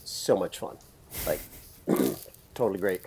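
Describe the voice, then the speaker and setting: A man hosting a podcast in a casual, rambling interview chat, his voice raspy from screaming at a concert. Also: low voice, animated, takes it slowly, darkish tone, a little hiss or hum. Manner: chatty, rambling, laughs often. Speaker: podcast host.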